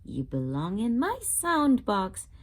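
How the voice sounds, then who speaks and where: Brief: female narrator as kindly little boy from a picture book, earnest, unhurried, lively pitch. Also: exaggerated voice. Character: kindly, helpful little boy storybook character.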